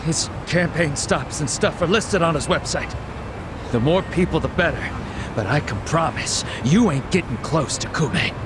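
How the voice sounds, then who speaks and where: A man's voice: on the high side, animated, normal speed, noisy background, close to an everyday voice. A man playing a crude, taunting gangster thug in a video game. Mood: smug, threatening. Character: cocky vengeful gangster thug, crude and menacing.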